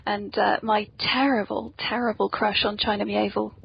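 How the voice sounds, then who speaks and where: A woman's voice: mid-range voice, little pitch movement, moderate pace, gravelly, breathy edge. A woman hosting a casual, playful interview chat, talking in a self-deprecating way. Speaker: podcast host and author. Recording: dull lo-fi podcast audio.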